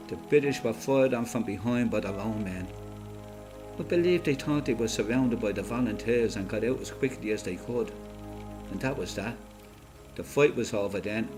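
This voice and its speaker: An actor playing an Irish freedom fighter veteran, giving matter-of-fact first-person testimony in an Irish accent for a radio play. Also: male voice, on the low side, natural voice. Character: plain-spoken Irish volunteer veteran recounting his fighting days.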